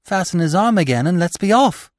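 A man's voice: lively intonation, brisk, no room sound, studio quality. A man voicing a timid, nervous young nephew in a storybook, fretful and easily dismayed. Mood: frightened. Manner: fretful, higher-pitched exclamations.

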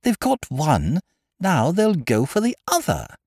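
A male reader plays an earnest English gentleman in a storybook mystery. He is politely blunt and impatient, with a very lively, swooping pitch. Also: a little slow, husky, no room sound, studio quality, full character voice. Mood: insistent, impatient.

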